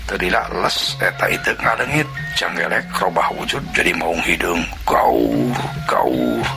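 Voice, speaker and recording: A man's voice: deep voice, measured pace, vocal fry. A man with a Sundanese accent narrating a folk tale vividly, voicing its sound effects himself. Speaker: storyteller. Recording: narration over loud music.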